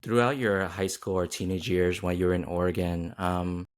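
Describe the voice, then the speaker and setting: A man hosts an interview in a very deep, low voice. He feels his way through his questions, restarting phrases and leaning on fillers as he goes. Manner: halting, searching phrasing with many fillers. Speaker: host. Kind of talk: interview hosting.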